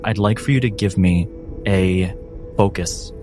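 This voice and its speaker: A man acting as game master, narrating a tabletop roleplaying game dramatically in deliberate, measured phrases. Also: low-pitched, over music.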